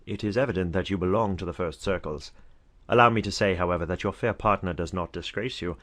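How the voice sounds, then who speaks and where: A man playing an effusive, courtly older Regency knight with a flattering, pompous manner in a dramatized audiobook. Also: low voice, fast.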